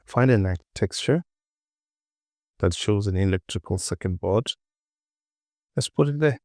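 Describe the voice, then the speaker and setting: A man presenting a casual software tutorial, informally talking himself through the work and often repeating words, close to the mic. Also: lowish voice, animated, measured pace, dry recording.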